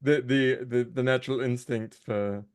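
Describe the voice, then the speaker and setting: A man's voice: mid-range voice, fairly even intonation, moderate pace, clean tone, dry recording. A man chatting casually as an interview guest, often restarting his phrases as he goes. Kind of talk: casual chat.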